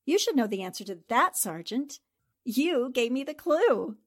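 A woman's voice: high voice, big pitch swings, normal speed. A woman playing a shrewd, confident newspaper reporter and amateur sleuth, theatrical and assertive in 1940s radio drama style. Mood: confident, triumphant.

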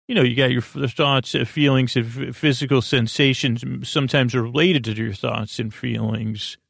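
A man hosting a bedtime podcast in a meandering, halting monologue, often drifting off his train of thought. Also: mid-range voice, fairly even intonation, vocal fry, a bit bright, dry recording, clean studio sound. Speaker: podcast host.

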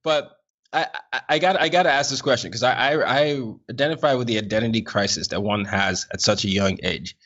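A man's voice: medium pitch, brisk, a bit bright, dull audio. Upbeat male podcast host. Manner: upbeat, effusive, repeats himself. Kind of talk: podcast intro and interview.

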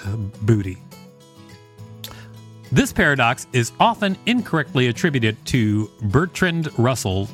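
A man's voice: medium pitch, fairly bright tone, no room sound. A man hosting a podcast, reading an encyclopedia article aloud with joking, informal commentary. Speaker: podcast host.

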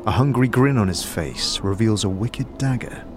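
Male narrator for a fantasy audio drama, lowish pitch, dramatic storytelling, clear music underneath. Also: lively intonation, moderate pace. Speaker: narrator, game master. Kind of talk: dramatic second-person scripted narration. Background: music bed.

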